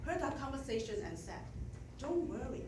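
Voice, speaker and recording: A middle-aged woman with a Chinese accent gives a measured, self-deprecating prepared speech to her club as outgoing president. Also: high voice, sing-song, background noise. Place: meeting room with audience. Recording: echoey room from a distance.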